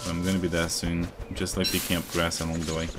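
Male streamer, deep voice, relaxed and chatty, with loud game music underneath. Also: fast talker. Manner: relaxed, chatty, reads out viewer chat. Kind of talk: casual gameplay chat.

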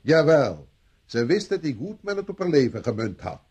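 An actor playing a stern, sceptical British police inspector who questions with sarcasm in a radio drama. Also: male voice, a little high, sing-song, normal speed, a little mellow, slightly rough. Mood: stern, accusing. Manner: sarcastic, interrogating. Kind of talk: vintage Dutch radio drama acting.

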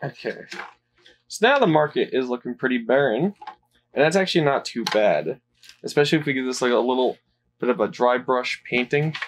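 A man giving casual, rambling commentary while unboxing a toy playset, off camera. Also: medium pitch. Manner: relaxed, rambling, with fillers.

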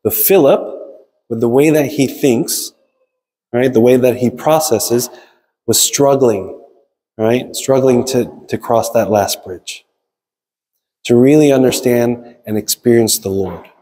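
Male preacher, mid-pitched and mellow, conversational sermon delivery with frequent check-in tags. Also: takes it slowly. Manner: explanatory, frequent checking tags like "right?" and "okay?". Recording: long reverb of a large hall.

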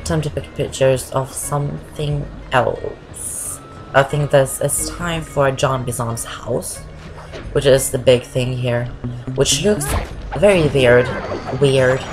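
Deep-voiced woman rambling thru a game walkthrough, game music loud behind. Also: takes it slowly. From Norway. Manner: rambling, keeps saying "I guess". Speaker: gameplay commentator. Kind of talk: casual game walkthrough commentary.

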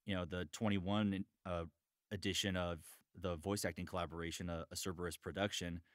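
A man's voice: deep voice, flat delivery, brisk, fairly bright tone, dry recording, studio quality. A man hosting a podcast about voice acting in casual chat. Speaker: podcast host, voice actor.

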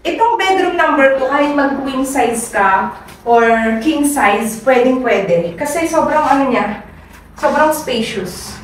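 A woman's voice: sits high, lively intonation, measured pace, recorded from a distance. Upbeat Filipino woman touring a house. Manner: upbeat salesy, mixes English and Tagalog. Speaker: real estate agent. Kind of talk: property tour vlog. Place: inside a show house and outside on a street. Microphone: handheld camera held by the speaker. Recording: echoey rooms, off-mic, street noise.

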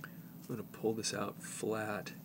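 A man in his fifties with a fairly low voice and a slight head cold talks through a hands-on job. He is informal and halting, explaining as he works, with noticeable background noise around him. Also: monotone. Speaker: presenter, tape repair technician. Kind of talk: casual hands-on tutorial.

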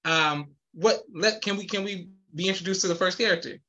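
A polite man hosts a live podcast from a room lined with posters, formal and deferential with his guest. His voice sits high and bright. The video-call audio is dull and lo-fi. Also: brisk, slight background noise. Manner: formal, deferential, says "yes, sir" often. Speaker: podcast host. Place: indoor room with wall of posters.

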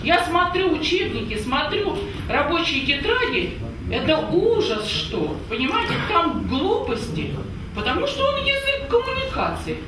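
A woman in her fifties speaking up at a public meeting, emphatic and lively, repeating points and asking her listeners if they understand. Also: high-pitched, a little slow. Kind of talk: impassioned public remarks. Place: meeting hall with an audience. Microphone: far camera, no microphone. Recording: echoey hall from far off with noise.

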